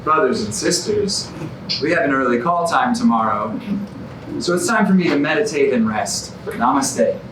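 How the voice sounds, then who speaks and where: A man's voice: medium pitch, animated, moderate pace, close to an everyday voice. An actor playing a serene, sanctimonious vegan yoga man in a staged musical comedy. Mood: pious calm. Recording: echoey, noisy stage, distant mic.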